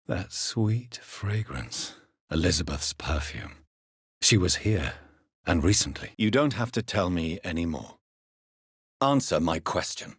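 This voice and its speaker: An actor playing a brooding gentleman doctor turned vampire in a video game, speaking in a formal, articulate, grave way. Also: low-pitched, husky, dry recording, studio quality, heavily acted. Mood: haunted, then firm. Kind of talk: dramatic video game dialogue.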